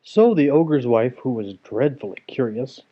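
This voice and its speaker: A man's voice: medium pitch, lively intonation, a little slow. Male narrator for children's fairy tales: mid-range voice, storytelling delivery, narrow tinny recording. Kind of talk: animated reading of a children's fairy tale. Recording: tinny, phone-like narrow sound.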